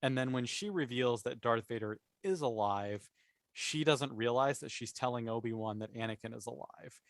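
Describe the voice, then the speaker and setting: A man's voice: medium pitch, normal speed, vocal fry, no echo, studio quality. A man talking casually as a podcast panelist, explaining his opinions and thinking aloud as he goes. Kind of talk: casual opinionated discussion of a TV series.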